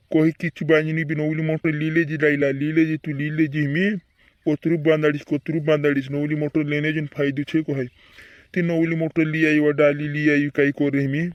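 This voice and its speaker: A man's voice: fairly high pitch, dry recording, highs cut off, close to an everyday voice. A man voicing a practical, insistent villager in a comedy cartoon, with a rural western Madhya Pradesh accent and repetitive lines.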